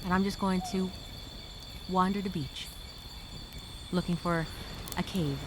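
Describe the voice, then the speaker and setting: A woman performing a stranded castaway speaking a journal aloud. She is wry and weary, with a sarcastic streak of hope. Crickets and insects chirp clearly behind her. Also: fairly low pitch, fairly even intonation, a little slow, background noise, lightly acted. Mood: weary musing. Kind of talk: dramatic first-person journal monologue.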